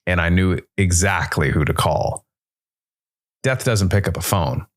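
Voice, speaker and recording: Man playing a low-voiced, brooding noir jazz musician. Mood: somber, brooding. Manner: brooding, deliberate, dramatic phrasing. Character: weary, somber jazz musician working for Death. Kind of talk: scripted noir first-person narration.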